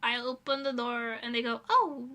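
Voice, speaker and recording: A woman's voice: a little high, normal speed, sharp tone. A young woman livestreamer chatting casually in an informal, self-deprecating, rambling way.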